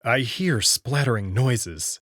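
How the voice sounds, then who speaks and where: A man reading scripted first-person visual novel narration in an expressive, dramatic way. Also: medium pitch, slow pace, no echo, studio quality.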